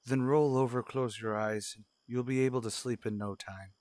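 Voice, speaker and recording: A patient father of a young boy, acted by a man in a radio drama. His mid-pitched voice is gently firm and paternal, in an old-fashioned serial style. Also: steady tone, normal speed, no echo, close to an everyday voice. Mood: patient, concerned.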